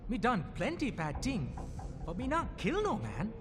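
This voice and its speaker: A very high, youthful boy, streetwise and scared, speaking in a video game cutscene. Loud music plays under the reverberant voice. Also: wildly swooping pitch, a little slow, exaggerated voice. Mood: defensive, earnest.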